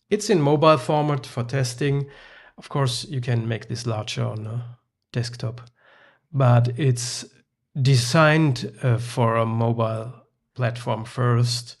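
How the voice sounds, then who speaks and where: A man with a gravelly, creaky voice, German his first language, demos his software in a loose, thinking-aloud manner. He pauses often with fillers as he clicks through screens. His pitch sits in the middle for a man. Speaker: software developer. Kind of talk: screen-recorded app demo.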